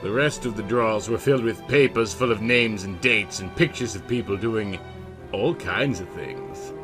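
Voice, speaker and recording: A man's voice: on the low side, normal speed, over music. A man narrating a story in a theatrical, comic reading style, with dramatic, emphatic phrasing.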